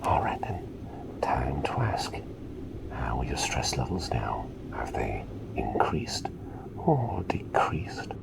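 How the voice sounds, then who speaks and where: A man playing a gentle, courteous plague doctor in a whispered ASMR roleplay, breathy and soothing, close to the microphone. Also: sing-song, heavily acted, rough recording. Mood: soft, attentive. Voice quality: breathy whisper with low gravelly creak. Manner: soothing, unhurried, quaintly polite. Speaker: ASMR performer.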